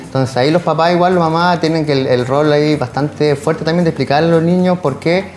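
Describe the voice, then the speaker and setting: A Chilean man answers an interview in informal, run-on sentences. He talks quickly in a slightly high male voice, with faint music behind him. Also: darkish tone. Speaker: social worker, guest.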